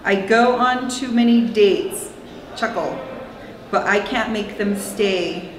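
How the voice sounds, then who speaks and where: A woman's voice: mid-range voice, slow. A woman giving a dramatic reading of lyrics indoors at a school. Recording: echoey room, background noise.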